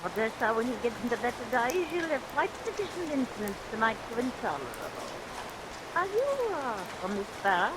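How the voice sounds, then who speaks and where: A woman's voice: fairly high pitch, brisk. A woman playing a haughty, sinister employer in a vintage radio melodrama, speaking with formal, imperious and ominously polite menace. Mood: haughty, curt. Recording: vintage radio recording, steady rain noise.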